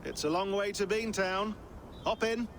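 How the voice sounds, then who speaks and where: An actor playing a wry, talkative modern expert in a game cutscene, explaining things conversationally. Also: male voice, high voice, fairly even intonation, moderate pace, lightly acted. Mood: brisk. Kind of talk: naturalistic game cutscene acting. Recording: clear ambient noise behind.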